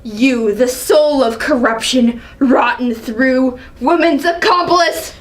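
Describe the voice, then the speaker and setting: A woman's voice: high voice, slow. A woman acting an enraged, proud king and father in a dramatic reading of Greek tragedy, with an indignant, imperious delivery. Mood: accusing. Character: proud, enraged male king, father berating his son.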